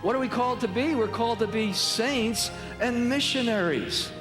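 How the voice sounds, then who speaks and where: High-pitched man preaching in echoey hall. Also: moderate pace. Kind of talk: impassioned preaching. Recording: echoey hall with music and crowd.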